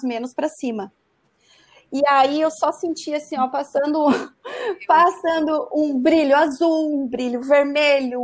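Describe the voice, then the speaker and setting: Uma mulher jovem de Santa Catarina contando uma história de forma casual e animada, cheia de "né". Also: female voice, sits high. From Santa Catarina, Brazil. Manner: animated, frequent "né" tags. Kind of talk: casual storytelling.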